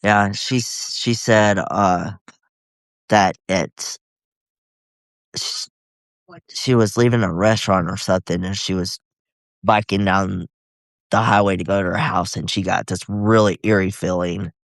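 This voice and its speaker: A man with a husky voice, nasal from a cold, chatting hesitantly as a guest on a live video from home. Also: sits low, steady tone, dry recording. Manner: halting, hesitant, full of fillers. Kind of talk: casual live-video chat.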